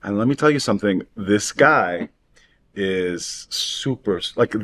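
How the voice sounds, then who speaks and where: A middle-aged man from Queens, co-hosting casually and excitably, repeating words for emphasis. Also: on the low side. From Queens, New York. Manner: excitable, halting repetitions for emphasis. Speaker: talk show co-host. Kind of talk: casual chat.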